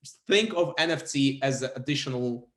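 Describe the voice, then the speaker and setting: A man from Kazakhstan who speaks Russian and Kazakh natively answers casual interview questions. His phrasing is hesitant and halting, at a middle pitch, and the room puts a clear echo on his voice. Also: expressive, fairly bright tone. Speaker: interview guest, film photographer. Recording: roomy echo.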